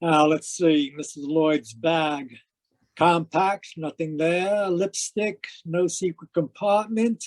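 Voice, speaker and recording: An actor playing a calm, courteous police inspector in an old-time radio mystery, speaking with measured authority. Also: mid-range voice, natural voice. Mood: methodical.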